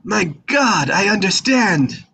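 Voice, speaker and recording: A man playing a brash, eager young Sicilian bodyguard with a raspy voice in a live script table read. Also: sits high, very animated, slow, pushed and bright, exaggerated voice. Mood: alarmed. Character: excitable young Sicilian bodyguard, brash, pleading and star-struck by America.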